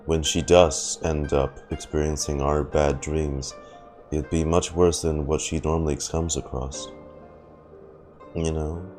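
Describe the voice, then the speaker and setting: A man's voice: extremely low pitch, little pitch movement, unhurried, a little mellow. A man giving casual reaction commentary as he streams a video game, close on the microphone. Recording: game music behind the voice.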